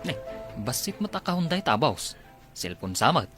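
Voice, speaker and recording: A clinic worker in a radio drama, played by a man, with an ordinary mid-pitched voice. He is deferential and addresses his boss politely. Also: very animated, moderate pace, a bit bright, soft background music.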